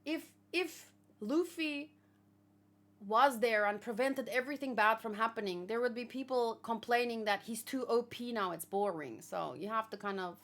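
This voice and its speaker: A woman's voice: a little high, moderate pace, a bit bright. Woman thinking aloud in casual live commentary. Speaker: host of a manga read-along reaction show.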